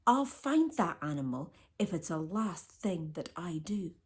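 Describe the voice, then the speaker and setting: A woman's voice: on the low side, sing-song, a little slow, a little mellow, breathy edge, exaggerated voice. A woman voicing a determined male poacher in a children's storybook, terse and gloating. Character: determined, crowing male poacher hunting a giraffe.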